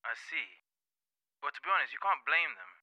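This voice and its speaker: A supportive doctor played by a male actor on speakerphone in an audio play. He talks quickly in a very bright, higher male voice. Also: sing-song, dry recording, lo-fi sound, heavily acted. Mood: reassuring. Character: polite, supportive doctor on the phone. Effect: telephone speaker filter. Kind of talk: audio drama acting.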